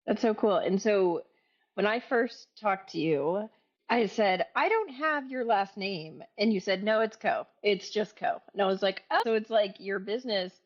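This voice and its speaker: A woman from Atlanta hosts an interview podcast in a chatty, enthusiastic way, leaning on "like" and "so" as she goes. Her voice sits at a middle pitch, and the recording is slightly dull and lo-fi. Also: normal speed. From Atlanta, USA. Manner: enthusiastic, chatty, many fillers like "like" and "so". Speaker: podcast host. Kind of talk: casual interview conversation.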